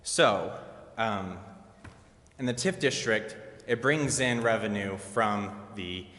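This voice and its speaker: A man's voice: on the low side, slow pace, pushed and bright. A man speaking as a citizen at a council meeting, methodically putting questions in a public comment. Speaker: citizen questioner, trained in law. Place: indoor council chamber. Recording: echoey reverberant meeting hall.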